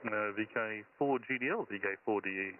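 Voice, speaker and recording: A man's voice: lowish voice, fairly quick, distant mic. A man chatting casually on a ham radio net, rambling with lots of fillers and restarts. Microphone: heard over shortwave radio. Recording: tinny radio with faint static.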